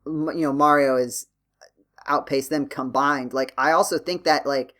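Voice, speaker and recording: A man's voice: mid-range voice, fairly even intonation, moderate pace, sharp tone. A male podcast host speaks in a mid-range voice. He discusses things casually, circling and rephrasing as he explains, with plenty of qualifications.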